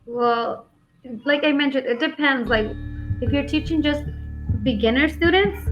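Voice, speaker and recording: A woman with a neutral American accent talks frankly in a casual English lesson, leaning on "like" as a filler. Her voice sits a little high. It comes through a muffled video-call line with faint music behind. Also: unhurried, a bit bright. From United States. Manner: frank, often uses "like" as a filler. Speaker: online English tutor. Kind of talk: casual one-on-one lesson chat. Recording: dull lo-fi call audio with faint background music.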